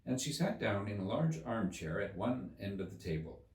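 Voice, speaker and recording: A man's voice: fairly low pitch, very animated, moderate pace, a little mellow. Male audiobook reader with hugely varied intonation, scripted storytelling, slightly distant roomy sound. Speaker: audiobook narrator. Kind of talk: animated storybook narration.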